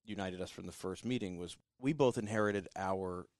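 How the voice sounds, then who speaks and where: Low-voiced man musing on a podcast. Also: moderate pace, no room sound, studio quality. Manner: friendly, reflective, frequent laughs and "yeah" replies. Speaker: podcast co-host. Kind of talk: casual podcast conversation.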